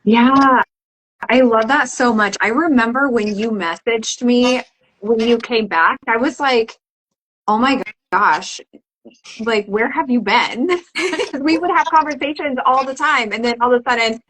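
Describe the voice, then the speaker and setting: A woman's voice: fairly high pitch, unhurried, a bit bright, poor audio. A woman hosting a podcast, talking enthusiastically with a guest and laughing easily. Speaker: podcast host. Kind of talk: casual podcast interview.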